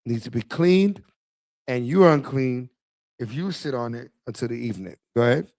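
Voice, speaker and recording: A man's voice: mid-range voice, lively intonation, moderate pace, a little mellow, no room sound. Man leading bible study, tells the reader to go ahead, asks right? a lot, dry sound. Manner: directs the reading, explains with repeated checks. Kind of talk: casual Bible study teaching.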